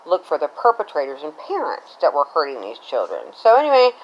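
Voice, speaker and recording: An American woman in middle age with a fairly low voice that moves up and down in a lively way. She tells a personal story casually and ramblingly, checking in as she goes. The recording sounds slightly dull and lo-fi. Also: a little fast, slight background noise. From Cincinnati, Ohio, United States. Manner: rambling, conversational, often checks in with "right?".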